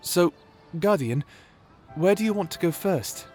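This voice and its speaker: A man's voice: high voice, lively intonation, measured pace, a little mellow, soft background music. A man playing a shy, nerdy young wizard in a fantasy audio roleplay, stammering, bashful and apologetic. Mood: bashful, polite. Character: shy nerdy young male wizard, flustered, eagerly polite.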